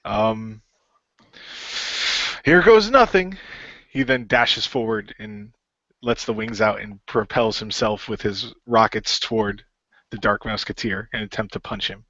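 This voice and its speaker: A man's voice: lowish voice, a little slow, pauses often, fairly bright tone, no room sound. A man improvising narration and hesitant character dialogue in an amateur radio play. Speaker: player in fan radio play. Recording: dull lo-fi voice recording.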